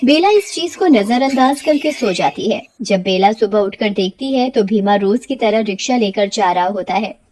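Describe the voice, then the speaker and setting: A woman's voice: medium pitch, lively intonation, normal speed, hardly any pauses, darkish tone, slight background noise. A woman narrating a scripted cartoon story as its storyteller.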